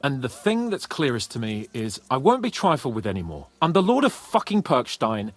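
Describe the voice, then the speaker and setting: An actor playing a proud, hot-headed young nobleman in a medieval video game, haughty and expressive. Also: medium pitch, moderate pace. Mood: haughty, defiant. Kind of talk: video game cutscene acting.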